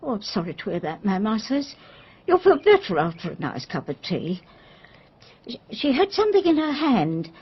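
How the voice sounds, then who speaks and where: An actress playing a loyal, deferential, gossipy English housekeeper with an English accent, flustered and animated, in a radio drama. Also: female voice, mid-range voice, normal speed, breathy edge, dull audio, exaggerated voice. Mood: confiding.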